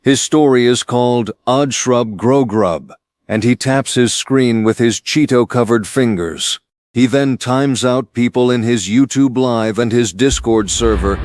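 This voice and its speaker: A man's voice: medium pitch, darkish tone, slight background noise. A man delivering scripted, comedic story narration. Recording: music behind the voice.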